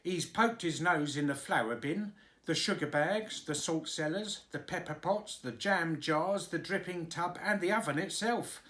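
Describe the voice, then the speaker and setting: A man's voice: a little high, unhurried, a bit bright, pitched above the performer's own voice. A man doing a grumbling put-upon cook. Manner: fussy and complaining.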